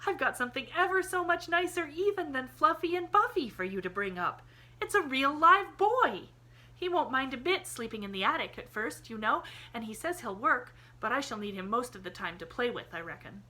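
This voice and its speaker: A woman's voice: sits high, lively intonation, normal speed, sharp tone, pitched above the performer's own voice, heavily acted. Woman doing a gushing, chattering little girl. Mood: excited. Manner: breathless, gushing, quaint old-fashioned phrasing. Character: eager, chattering young girl, endlessly cheerful. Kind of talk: audiobook character voice.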